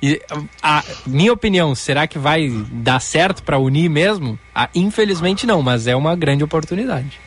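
A man's voice: fairly high pitch, lively intonation, moderate pace, slight background noise. A man chatting casually as co-host of a radio talk show. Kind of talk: casual on-air chat.

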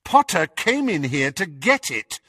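A man's voice: mid-range voice, sing-song, a little slow, bright, forceful tone, breathy edge, no room sound, studio quality, full character voice. A man voicing a haughty, impatient teenage schoolboy with a brittle, tense manner in an audiobook.

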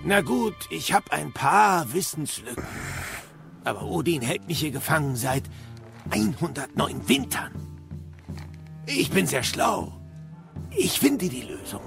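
An actor playing an ancient, boastful know-it-all captive in an audio drama, eloquent and sardonic. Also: male voice, a little high, very animated, measured pace, creaky, pauses often, over music, full character voice. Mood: boastful, explanatory.

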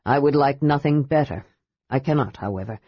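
A woman voicing a grave, wise old male gold dragon who speaks slowly and sternly. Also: very deep voice, slightly rough, no room sound, muffled recording, voice pushed down. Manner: stern, grave, slow and measured.

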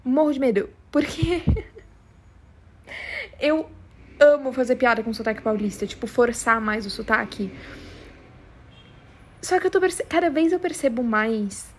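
A woman's voice: on the high side, lively intonation, measured pace, pauses often, a little hiss or hum. A young woman with a strong São Paulo Brazilian accent, chatting casually and confessionally in a rambling, slangy, emphatic way. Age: young adult. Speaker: podcast host.

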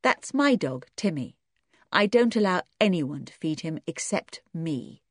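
A woman's voice: mid-range voice, big pitch swings, normal speed, dry recording, studio quality, pitched above the performer's own voice. A woman voicing a stern, protective young girl in a storybook, with a curt, icy manner.

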